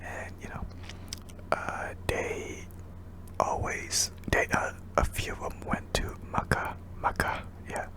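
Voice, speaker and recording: A young man from Indonesia, whispering hesitantly with many ums while answering viewer questions in an ASMR video. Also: slow pace. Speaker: ASMR channel host.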